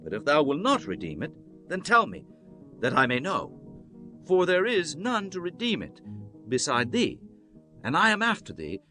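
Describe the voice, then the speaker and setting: Man acting an earnest, animated biblical nobleman. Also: fairly high pitch, normal speed, long pauses, soft background music, exaggerated voice. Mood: formal, businesslike. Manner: solemn, declamatory, archaic formal speech. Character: Boaz, earnest, honorable biblical landowner. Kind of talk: dramatized scripture audiobook acting.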